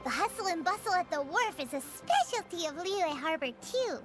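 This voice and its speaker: A woman voicing a tiny, talkative, excitable fairy-like girl guide with a squeaky childlike voice in a video game. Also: normal speed. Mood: proud, explaining. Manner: speaks of self in third person, excitable. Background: cheerful game music.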